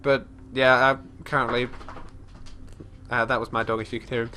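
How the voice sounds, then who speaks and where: Stuffy-nosed man, mid-pitched, casual rambling game commentary with a faint hiss behind. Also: flat delivery, normal speed, sharp tone. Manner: rambling, chatty, often restarting sentences; talks about his character in third person. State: congested. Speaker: let's play host.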